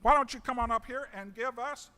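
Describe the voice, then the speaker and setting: A man moderating a conference panel from a podium in a museum auditorium. He speaks in a joking, halting way with many uhs. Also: extremely high pitch, pushed and bright. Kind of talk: folksy conference introduction. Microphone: podium microphone. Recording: long hall reverb.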